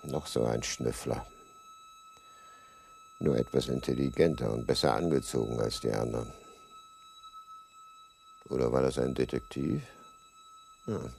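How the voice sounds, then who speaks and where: A man's voice: rumbling low, wildly swooping pitch, measured pace, vocal fry, long pauses, mellow, slight background noise, exaggerated voice. An actor playing a guarded, suspicious male bookseller in a German radio crime drama, curtly polite and wary. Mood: suspicious musing.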